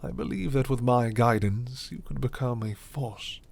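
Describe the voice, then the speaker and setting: A man voicing a suave, commanding vampire lord in an ASMR roleplay, with formal, breathy, half-whispered menace. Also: medium pitch, normal speed, darkish tone. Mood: silky, intrigued.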